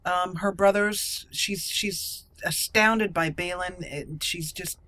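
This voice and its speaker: A woman hosting a let's play, narrating the game as a dramatic, deliberate storyteller. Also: fairly low pitch, sharp tone, no room sound.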